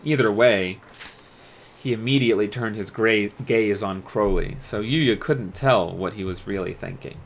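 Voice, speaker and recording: A man reading a story aloud as a streamer, in an expressive storybook narration style. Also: fairly low pitch, measured pace, slight background noise.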